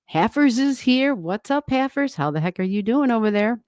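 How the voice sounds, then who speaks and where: A woman's voice: fairly high pitch, expressive, normal speed, a little mellow, no room sound, clean studio sound. A woman hosting an astrology livestream from a room at home, chatting casually with viewers, rambling and laughing often. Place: home room, not soundproofed. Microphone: desk microphone, turned down halfway.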